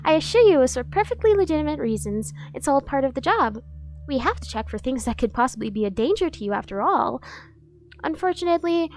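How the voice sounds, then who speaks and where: A woman's voice: fairly high pitch, darkish tone. A woman playing a devoted, obsessive female bodyguard in an ASMR roleplay, persuasive and insistent while feigning professionalism.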